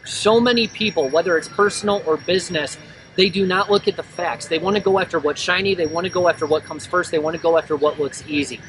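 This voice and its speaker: An American man with a slightly raised voice talks bluntly and preachily, poking fun at himself as he drives home a business lesson. He sits well back from the mic, and outdoor noise with passing vehicles comes through clearly behind him. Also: on the high side, fast talker, recorded from a distance. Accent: General American. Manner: blunt, self-mocking, preachy. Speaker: entrepreneur vlogger. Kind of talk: casual motivational monologue.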